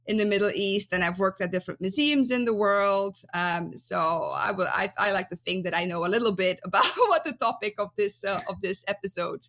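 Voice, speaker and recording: A woman's voice: medium pitch, fairly quick, few pauses, fairly bright tone. A woman in her thirties from Belgium with a Dutch accent, chatting as an interview guest, lots of ums and easy laughter. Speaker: guest archaeologist. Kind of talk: casual interview chat.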